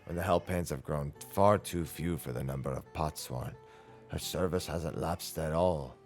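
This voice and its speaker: Male player as older artisan, low register, measured earnest delivery, gruff yet fond, video game. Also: soft background music. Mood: tender, earnest. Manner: measured, formal, earnest phrasing. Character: gruff but fond older craftsman nearing retirement. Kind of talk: video game dialogue read aloud in character.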